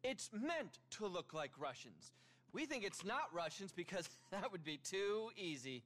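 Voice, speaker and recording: High-pitched, pompous, manic tech billionaire. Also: male voice, big pitch swings, unhurried, a bit bright, exaggerated voice. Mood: insistent. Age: 30s. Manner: pompous, indignant, theatrical. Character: pompous, manic, self-styled visionary tech billionaire in his thirties. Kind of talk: animated game cutscene acting.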